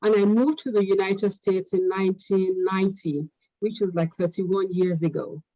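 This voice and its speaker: A woman in her fifties who grew up in Nigeria introduces herself on a panel. Her voice is mellow, and she speaks hesitantly with frequent ums. The audio is dry and lo-fi. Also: medium pitch, fairly even intonation, normal speed, no echo.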